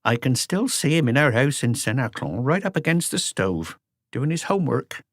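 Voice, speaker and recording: A man's voice: mid-range voice, big pitch swings, moderate pace, no echo, studio quality, voice pushed up. A man voicing a wary, proud elderly mother with muttered, defiant retorts in an audiobook. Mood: wistful, proud. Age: older. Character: sharp-tongued elderly mother, wary, proud of her son. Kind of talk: audiobook character voice.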